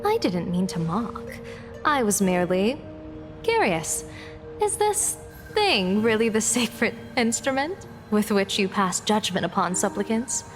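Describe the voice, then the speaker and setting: Slow-spoken, wryly teasing general. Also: female voice, medium pitch, sing-song, soft background music. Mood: amused curiosity. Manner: polite, measured, dryly sarcastic. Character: composed, wryly teasing female general and diviner. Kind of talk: video game voice acting.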